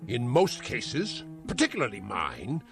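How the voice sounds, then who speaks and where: A venomous old uncle. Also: male voice, medium pitch, huge pitch swings, measured pace, vocal fry, exaggerated voice. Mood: scornful. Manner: venomous, theatrical insults. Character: spiteful bedridden old uncle, cruel and sneering. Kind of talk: vintage radio-style drama acting. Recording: music score behind.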